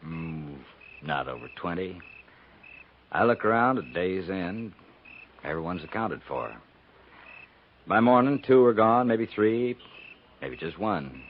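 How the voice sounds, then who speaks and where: Deep-voiced, brooding frontier agent. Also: male voice, expressive, slow, pauses often. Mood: uneasy, emphatic. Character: brooding, weathered frontier Indian agent, uneasy and plainspoken. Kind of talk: 1950s radio western acting. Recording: dull, noisy 1950s radio recording.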